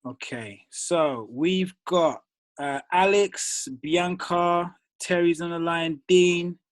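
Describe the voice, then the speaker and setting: A man's voice: sits high, steady tone, takes it slowly, dry recording. A man hosting a group call, reading names and firing up his team in a casual, motivational way. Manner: casual, calls people bro and dude.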